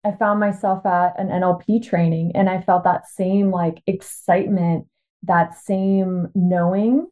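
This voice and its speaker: A woman with an American accent, chatting informally and excitedly as a podcast guest telling her own story. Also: on the low side, a little slow. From Washington State, United States. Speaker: podcast guest, life coach. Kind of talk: casual chat, telling personal story. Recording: thin phone-like call audio.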